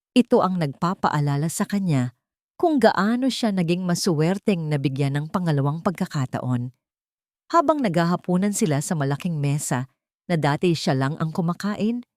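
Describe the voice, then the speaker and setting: A woman narrating a scripted audio drama in an expressive, emotive storytelling manner. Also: on the low side, normal speed, no room sound, studio quality.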